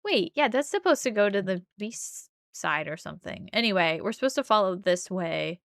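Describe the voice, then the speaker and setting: A woman's voice: medium pitch, sing-song, moderate pace, vocal fry, fairly bright tone, no echo, clean studio sound. Chatty woman doing casual gameplay commentary. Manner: chatty, self-deprecating. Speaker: let's play host.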